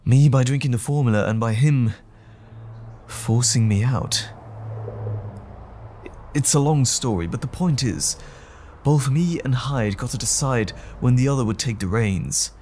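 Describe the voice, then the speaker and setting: A man playing a troubled, apologetic gentleman scientist in an audio roleplay drama, speaking in a formal, halting, anxious way. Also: mid-range voice, slight background noise, lightly acted. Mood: anxious, strained.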